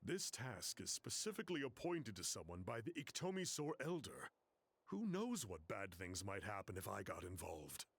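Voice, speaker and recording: Talkative young-hearted guide, video game, husky, animated intonation, casual storytelling. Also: male voice, lowish voice, moderate pace, dry recording, exaggerated voice. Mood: resigned. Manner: casual, explanatory, chatty.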